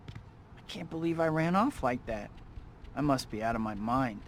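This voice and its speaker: A man's voice: medium pitch, animated, moderate pace, a little hiss or hum, natural voice. A young American man playing a wisecracking, well-meaning superhero in a video game, with a boyish, self-mocking manner. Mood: regretful. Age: young adult. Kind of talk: video game cutscene acting.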